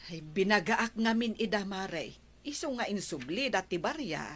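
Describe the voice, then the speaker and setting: A woman's voice: medium pitch, lively intonation, normal speed, natural voice. An actress with an Ilocano accent playing a plain-spoken, scolding village woman in a radio comedy drama. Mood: chatty.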